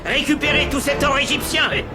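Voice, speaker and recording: A scornful male rabble-rouser declaims in a strikingly high voice. He is demanding and mocking, in animated Bible film style, with loud music and a crowd behind him. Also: fairly quick. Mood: gloating, rousing. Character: agitated, scornful male rabble-rouser among desert Israelites.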